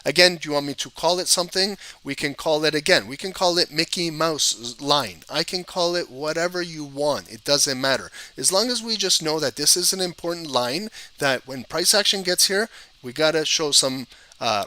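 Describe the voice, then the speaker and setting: A man with a cold and a hoarse voice, explaining trading charts to viewers in a casual, conversational way. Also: a little high, animated, normal speed, sharp tone. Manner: conversational, addresses viewers directly. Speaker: trading educator. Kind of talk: live-streamed chart analysis.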